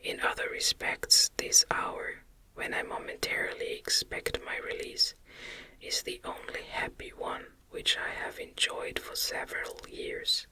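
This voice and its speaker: A woman reading a novel aloud as ASMR narration in a gentle, soothing, breathy whisper. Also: measured pace.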